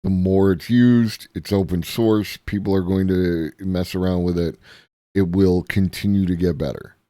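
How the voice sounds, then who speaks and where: A man streaming live, reacting to a video in a musing, halting way with many ums. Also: deep voice, fairly even intonation, dark tone, no room sound, studio quality.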